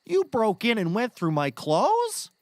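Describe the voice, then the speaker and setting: A timid, earnest comedy sidekick, acted by a man, pleads with extremely animated pitch. He comes across as put-upon and sincere. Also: unhurried, heavily acted. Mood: earnest, hurt. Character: earnest, meek, put-upon male friend.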